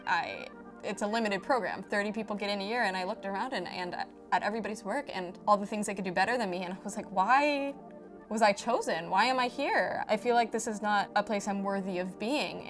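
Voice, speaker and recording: A young woman, an animation student, speaking candidly in a documentary interview and acting out bits of remembered dialogue. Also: mid-range voice. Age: young adult. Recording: music behind the voice. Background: music.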